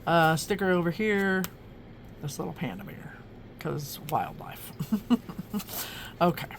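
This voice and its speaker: A woman's voice: fairly low pitch, takes it slowly, vocal fry, background noise. A woman from Oklahoma chatting casually as she flips through her journal, rambling with lots of ums and restarts. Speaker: journaling vlogger.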